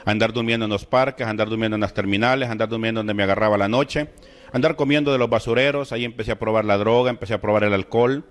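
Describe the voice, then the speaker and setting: A middle-aged man from El Salvador with a Salvadoran Spanish accent, slowly and solemnly telling his personal religious testimony. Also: on the low side. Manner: slow, deliberate, solemn storytelling with emotional rises. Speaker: testimony speaker.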